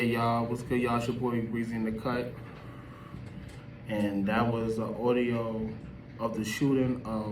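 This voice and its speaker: Man, video host, casual opinion talk, emphatic, slangy, mid-pitched, distant noisy recording. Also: roomy sound. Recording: distant mic with background noise.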